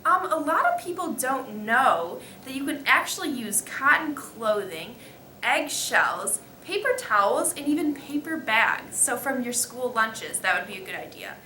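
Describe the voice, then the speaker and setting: Student girl explaining stuff in a skit, bit halting, highish voice, echoey with faint noise. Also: animated, sharp tone. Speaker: student guest playing composting expert. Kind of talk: scripted explanatory interview answers.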